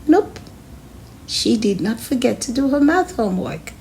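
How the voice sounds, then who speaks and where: Animated woman reading a children's storybook. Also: a little high, a little slow, creaky. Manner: expressive, addresses young listeners with questions. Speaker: children's read-aloud host. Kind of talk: storybook read-aloud narration.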